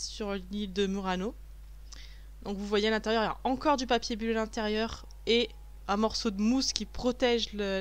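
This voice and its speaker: Mom doing a casual unboxing voiceover, lots of euh and restarts, laughs at herself. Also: female voice, medium pitch. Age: adult, a mother of a young child. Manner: chatty, self-mocking, many fillers and restarts. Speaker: vlogger reviewing a package. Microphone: voice-over recorded afterwards, not while unboxing.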